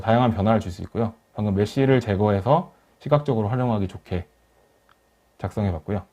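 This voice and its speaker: A man narrating a software tutorial as a webinar instructor, explaining each step in a polite, formal way. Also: mid-range voice. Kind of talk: software tutorial narration over screen demo. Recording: dull lo-fi voice recording.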